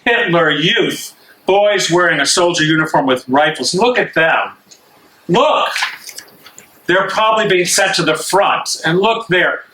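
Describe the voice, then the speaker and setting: A man's voice: sits high, measured pace, pushed and bright, a little hiss or hum, low-quality recording. A man acting an angry, bitter imprisoned dissident in an amateur stage reading, agitated, challenging and insistent.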